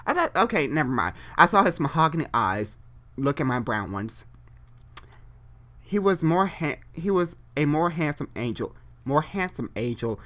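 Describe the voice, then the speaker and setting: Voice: mid-range voice, fairly bright tone. A person reading fan fiction aloud live, stumbling and repeating phrases, and breaking off for chatty, informal asides. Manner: halting, repeats and corrects phrases while reading. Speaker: online storyteller.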